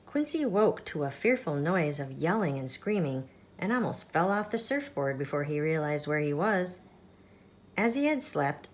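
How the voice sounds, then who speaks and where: A woman reading a children's picture book aloud as a storytime host, in an expressive, measured storytelling manner. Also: on the low side. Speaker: storytime channel reader.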